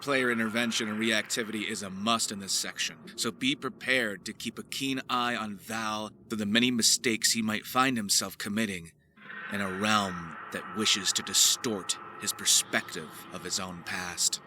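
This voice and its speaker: A man's voice: lowish voice, fairly even intonation, normal speed. A man presenting his voice acting work in a scripted, theatrical narration with florid wording. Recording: faint ambient noise behind.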